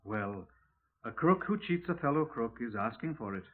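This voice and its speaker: An actor playing an earnest, doubting police sergeant in a 1950s radio crime drama, husky, clipped and questioning, deferential to his superior. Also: mid-range voice, expressive, moderate pace, lightly acted. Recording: muffled, narrow-band 1950s radio recording.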